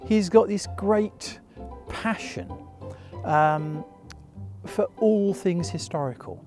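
A man's voice: high voice, slow, soft background music. An older man interviewed outdoors by a stone church, speaking with enthusiasm and some fillers. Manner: enthusiastic, warm praise with fillers. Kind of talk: interview testimony.

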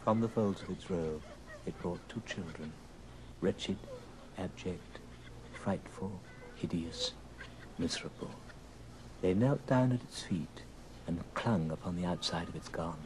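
A man narrating an old radio drama as a dramatic storyteller, with theatrical, deliberate phrasing. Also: low voice, noisy background. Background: music.

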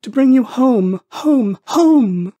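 A man's voice: extremely high pitch, expressive, slow pace, warm tone, voice pushed up, exaggerated voice. A man voicing a tiny, affectionate little girl, eager and gleeful, in a storybook reading. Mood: gleeful, loving.

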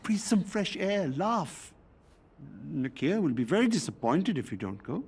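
A man playing a gentle, hesitant middle-aged father coaxing his child in a video game. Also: fairly high pitch, very animated, measured pace, husky, heavily acted. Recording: faint ambient room noise.

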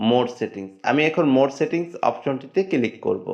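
A man narrating a step-by-step phone settings tutorial in a plain, steady instructional tone. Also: medium pitch, moderate pace. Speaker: tech tutorial host. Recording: dull lo-fi voice-over.

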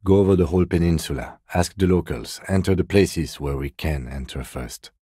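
A man acting a reserved, dutiful police detective in a video game, speaking in a measured, formal, deadpan way with dry courtesy. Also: deep voice, husky, dry recording. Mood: cautious, quietly insistent. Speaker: voice actor.

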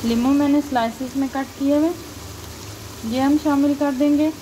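A woman, calmly explaining each cooking step as a recipe voice-over. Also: high voice, moderate pace, a little hiss or hum, low-quality recording. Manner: calm, instructive, points things out to viewer. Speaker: cooking channel host. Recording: loud frying sizzle behind voice.

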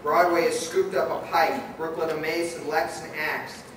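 A man's voice: a little high, flat delivery, normal speed, fairly bright tone. A man reading scripted narration for a radio play staged live. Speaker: narrator and director. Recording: very echoey hall, far mic, noisy.

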